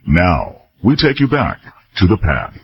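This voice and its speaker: An American man delivers a scripted radio announcement in a slow, fairly low and husky voice. The audio is dry and somewhat dull, like a radio broadcast. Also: huge pitch swings.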